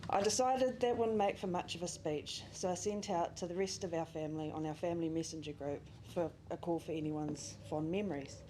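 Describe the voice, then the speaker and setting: A woman's voice: on the low side, a little fast, hardly any pauses, mellow, slight background noise, rough recording. A young woman reading a memorial speech for a family friend, reminiscing with small laughs. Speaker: family friend giving a eulogy. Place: memorial service venue.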